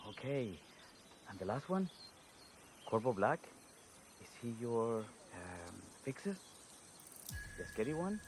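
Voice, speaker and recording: An actor playing a blunt, harried winery boss in a video game, with an exasperated manner. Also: male voice, mid-range voice. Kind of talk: video game dramatic dialogue. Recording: clear outdoor ambience noise.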